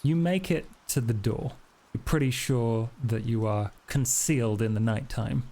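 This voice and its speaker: Guy game mastering a tabletop rpg, soft mellow voice, mid pitch, making up the scene. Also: measured pace. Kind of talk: improvised tabletop game narration.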